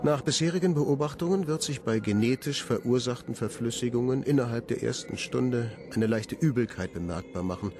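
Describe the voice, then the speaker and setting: An actor playing a cold, clinical scientist with deadly plans in a radio drama, speaking in a detached, formal, precise manner. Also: male voice, medium pitch, a little fast, hardly any pauses, noisy background, natural voice. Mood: coldly clinical. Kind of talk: German radio drama monologue.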